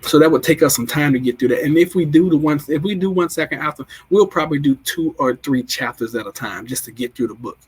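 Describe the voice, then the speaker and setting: Husky-voiced man chatting on a livestream. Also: on the high side, fast talker, hardly any pauses, poor audio. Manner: rambling, repeats himself, restarts often. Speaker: livestream host. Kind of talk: casual livestream chat, reading viewer comments.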